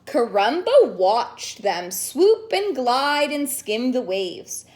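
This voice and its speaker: High-pitched, animated woman reading to children. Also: slow pace. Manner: playful, simple explanations and questions to children. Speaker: children's teacher and story reader. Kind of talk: storybook read-aloud for young children.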